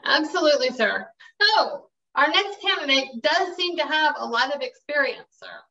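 A woman's voice: high voice, very animated, moderate pace, vocal fry, sharp tone, heavily acted. A woman playing a deferential, polite assistant to a director, on a webcam in a room with a wooden door. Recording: distant, dull webcam sound.

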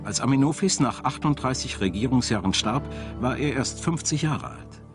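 A man's voice: mid-range voice, expressive, normal speed. A man reading scripted narration for a documentary as a studio voice-over. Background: music.

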